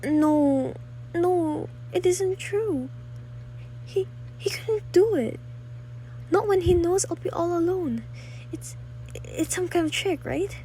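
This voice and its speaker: A woman's voice: expressive, slow, long pauses, mellow, a little hiss or hum, full character voice, poor audio. A woman playing a nervous, demanding, self-pitying bedridden wife in a radio thriller, with a querulous, imperious manner. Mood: distraught. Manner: querulous, imperious, highly strung. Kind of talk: old-time radio thriller acting.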